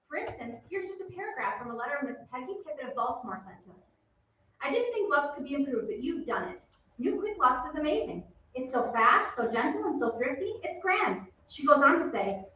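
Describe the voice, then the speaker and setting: Woman acting a high-voiced, gushing radio saleswoman. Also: sharp tone, exaggerated voice. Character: chatty, enthusiastic 1940s radio soap-flake saleswoman. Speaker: radio ad announcer. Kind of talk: old-time radio commercial. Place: theatre stage, heard from off. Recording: distant echoey stage, dull with noise.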